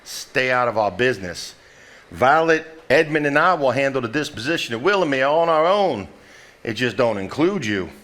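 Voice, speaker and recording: A folksy heir with a medium-pitched voice. He is plain-spoken and sardonic, with a wry turn to his phrases. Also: sing-song, moderate pace, fairly bright tone. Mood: scornful. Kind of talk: live stage play acting.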